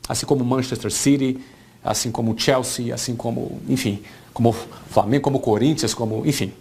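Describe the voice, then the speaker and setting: A man's voice: medium pitch, fairly even intonation, normal speed, creaky, a little mellow, breathy edge. A man in his forties with a Brazilian Portuguese accent, giving an informal business talk as an entrepreneur, conversational and often checking in with his listeners. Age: 40s.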